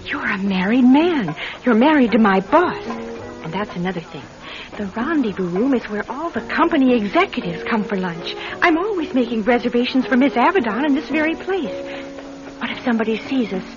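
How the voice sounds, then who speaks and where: A naive young secretary in a vintage radio play, played by a woman. She is earnest and anxious, with a highish, animated voice. The sound is muffled and old. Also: normal speed, over music, close to an everyday voice. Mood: flustered. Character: young female secretary, naive, flustered by her boss's husband. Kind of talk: old-time radio drama acting.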